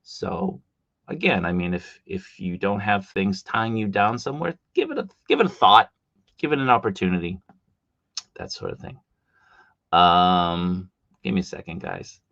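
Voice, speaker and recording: A middle-aged man with a Boston accent talks casually on a livestream, his voice bright and lively in pitch. The sound is dry and a bit dull, like a desktop mic in a small room. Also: moderate pace, gravelly, no echo. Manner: chatty, reads chat comments aloud. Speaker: livestream host.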